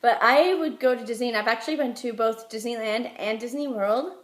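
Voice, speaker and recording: A young woman with an American accent speaks in a higher-pitched voice, slightly under the weather with a head cold. She answers interview questions in a chatty, halting way. The recording has a clear roomy echo. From Utah, United States. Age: young adult. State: getting over a head cold. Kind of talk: informal phone interview answers.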